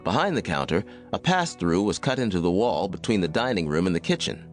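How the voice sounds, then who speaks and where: American guy reading a western audiobook, lowish voice, very expressive, soft music behind. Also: a little fast. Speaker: audiobook narrator. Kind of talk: scripted Western story narration.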